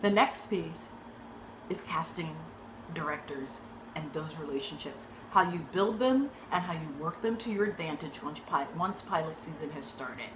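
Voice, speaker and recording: A woman acting coach giving an energetic talk to actors, often checking in with "right?". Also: deep voice, normal speed, background noise. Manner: energetic, keeps asking "right?". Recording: echoey room with faint ambience.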